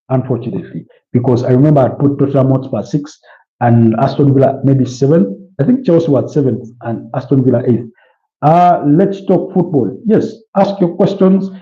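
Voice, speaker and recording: A man with a Ugandan accent hosting a casual football preview livestream, chatting with viewers in a hesitant way and repeating phrases. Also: medium pitch. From Kampala, Uganda. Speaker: livestream host. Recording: dull, roomy, echoey sound.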